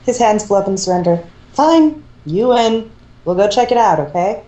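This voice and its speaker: Mellow-voiced woman reading a story, echoey room. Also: medium pitch, expressive, moderate pace. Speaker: fan fiction reader. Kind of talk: scripted story narration read aloud, with character dialogue. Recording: echoey room, amateur recording.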